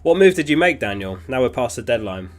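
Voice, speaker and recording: A man hosting a casual livestream, reading viewer messages aloud in a relaxed, chatty way. He is sniffly and keeps clearing his throat. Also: mid-range voice, fast. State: sniffly, getting over an illness. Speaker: fantasy football livestream host.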